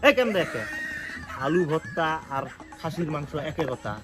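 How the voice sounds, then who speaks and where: Man playing a pompous, high-ish voiced village politician. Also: lively intonation, normal speed, over music, lightly acted. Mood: indignant, boastful. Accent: rural North Bengal. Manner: pompous, indignant, bossy. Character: boastful, self-important village politician running for election. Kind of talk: amateur village comedy skit acting.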